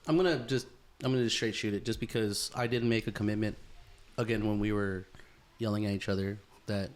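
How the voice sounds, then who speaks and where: A man's voice: lowish voice, fairly even intonation, brisk. A man in his thirties hosting a podcast in casual chat, easygoing and probing, swearing now and then with lots of fillers. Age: 30s. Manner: easygoing, swears, asks probing questions, many fillers and restarts. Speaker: podcast host.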